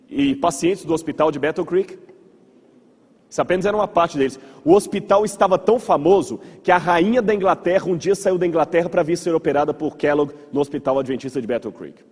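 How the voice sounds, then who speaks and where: Brazilian pastor lecturing in a reverberant hall. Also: male voice, sits high, a little mellow, breathy edge. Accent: Brazilian Portuguese. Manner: conversational, asks the audience rhetorical checks, repeats words for emphasis. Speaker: pastor giving a church history lecture. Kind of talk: illustrated lecture to a congregation, informal storytelling. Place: large hall with audience. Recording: reverberant hall, slightly muffled voice.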